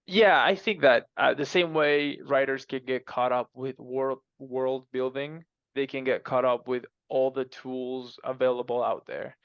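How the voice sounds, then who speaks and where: A man speaking as an interview guest in casual, halting conversation, repeating words as he explains. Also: medium pitch, fairly even intonation, vocal fry, clean tone, dry recording, dull audio. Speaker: podcast guest, fiction co-author.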